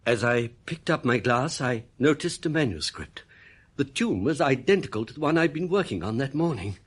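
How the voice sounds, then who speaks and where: A man's voice: medium pitch, lively intonation, moderate pace, husky. An actor playing an uneasy, suspicious composer, confiding his own story in a radio thriller. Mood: troubled, confiding. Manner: troubled, confiding first-person storytelling. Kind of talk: radio play narration and dialogue.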